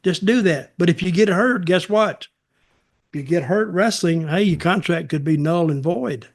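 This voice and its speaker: A man's voice: high voice, normal speed. A man co-hosting a podcast, giving casual opinions in a blunt, sarcastic, folksy way. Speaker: podcast co-host, wrestling commentator.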